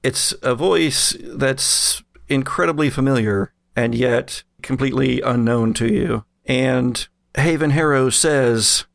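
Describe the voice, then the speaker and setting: Slow, creaky-voiced male game master. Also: mid-range voice, fairly bright tone, dry recording, studio quality. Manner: halting, suspenseful scene-setting with pauses. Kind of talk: improvised narration of a horror tabletop game.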